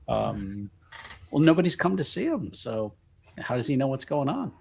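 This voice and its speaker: A man playing a tabletop roleplaying game, talking casually and haltingly, thinking aloud and joking as he improvises. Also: medium pitch, vocal fry, a little hiss or hum.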